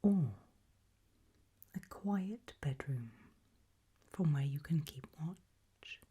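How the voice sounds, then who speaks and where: A British woman doing an ASMR roleplay in a breathy whisper, putting soft questions to the listener with deliberate pauses. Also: sits low, lively intonation. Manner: slow, deliberate, with long pauses.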